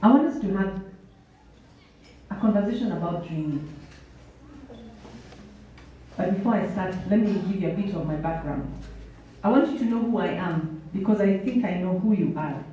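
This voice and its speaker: A woman's voice: mid-range voice, moderate pace, long pauses, warm tone, highs cut off, a little hiss or hum. A woman in her thirties with a Kenyan accent gives a motivational talk to students, emphatic, full of questions and repetition. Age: 30s. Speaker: motivational workshop speaker. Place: indoor workshop hall. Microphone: handheld microphone. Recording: roomy hall, distant, music behind.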